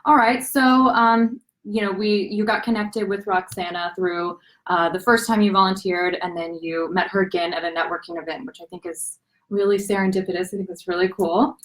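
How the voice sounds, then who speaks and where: A woman's voice: medium pitch, fairly even intonation, normal speed, fairly bright tone, rough recording. A young woman interviewing a guest for a live online series, asking long, winding questions and affirming often. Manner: friendly, frequent affirmations, long multi-part questions. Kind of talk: casual live-stream interview.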